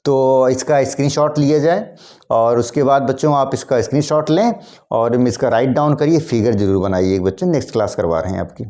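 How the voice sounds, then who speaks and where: Casting note: male teacher, hoarse a cold, mellow dark tone, repetitive classroom explaining. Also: mid-range voice, a little fast. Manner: repetitive, addresses students as kids, frequent throat clearing. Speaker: biology teacher. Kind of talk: classroom lesson addressing students.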